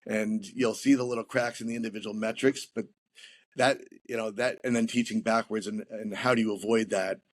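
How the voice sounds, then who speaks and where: A man who is a guest on a business podcast, explaining his methods in a steady way while often repeating words as he restarts. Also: on the low side, a little fast. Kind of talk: interview answers on leadership.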